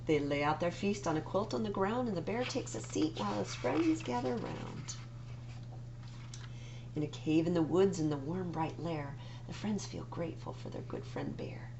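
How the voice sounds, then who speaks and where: A woman reading picture books aloud for children's story time, in an expressive, deliberate storytelling manner. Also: on the low side, creaky, noisy background. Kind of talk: read-aloud picture book narration.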